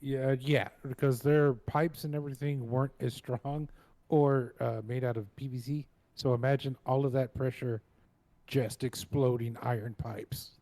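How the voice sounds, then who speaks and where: A man's voice: mid-range voice, steady tone, measured pace, mellow. A man joking and teasing his friends during a casual live stream. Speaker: co-host voice actor.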